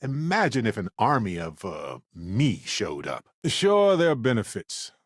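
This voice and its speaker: Deep, wisecracking space explorer. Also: male voice, huge pitch swings, unhurried, slightly rough, dry recording, studio quality, exaggerated voice. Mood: playful, wry. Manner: playful, wry, conversational. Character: affable, wisecracking adult male space explorer and scientist. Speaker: video game voice actor. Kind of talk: video game companion dialogue.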